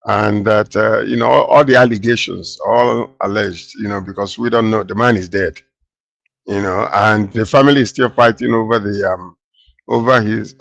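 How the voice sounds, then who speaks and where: Gravelly guy on a news panel, muffled dry audio, repeats points, asks rhetorical questions. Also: mid-range voice, no echo. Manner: emphatic repetition, rhetorical questions. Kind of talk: opinionated news commentary.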